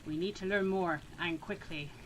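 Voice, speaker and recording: A woman's voice: lowish voice, normal speed, slight background noise, voice pushed down, close to an everyday voice, rough recording. A woman voicing a stern, commanding male mentor from a visual novel, speaking in terse, cold commands. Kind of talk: visual novel read-aloud.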